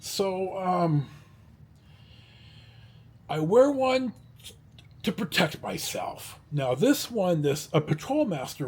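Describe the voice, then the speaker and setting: A man talking casually in a rambling, halting vlog style, blunt and a little salty, with a husky, rough-edged voice. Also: sits high, darkish tone. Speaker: vlogger.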